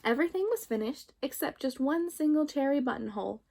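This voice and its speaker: A woman's voice: high-pitched, expressive, fairly quick, gravelly, warm tone, slight background noise. A woman reading a children's storybook aloud expressively, drawing out some phrases.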